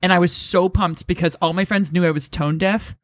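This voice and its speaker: A woman with a low voice co-hosting a podcast, chatting casually and playfully, with quick asides. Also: steady tone, dry recording, clean studio sound. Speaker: podcast co-host. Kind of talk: casual chat.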